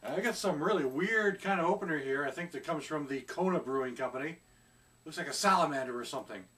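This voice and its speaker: Middle-aged guy chatting about a beer at home, kinda high voice, bit far from the mic, lots of ums. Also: fast talker, a bit bright. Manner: chatty, hesitant with many fillers. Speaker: beer reviewer. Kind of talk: casual review vlog. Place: small room at home, seated indoors.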